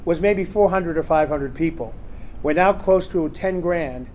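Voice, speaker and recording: A rather high-voiced man talks casually in a convention panel room. He rambles loosely and leans on "um" and "you know" as he goes, like someone used to hosting these talks. There is a faint hum of room ambience behind him. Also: measured pace, darkish tone, low-quality recording. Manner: rambling, chatty, full of fillers. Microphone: recorder in the room.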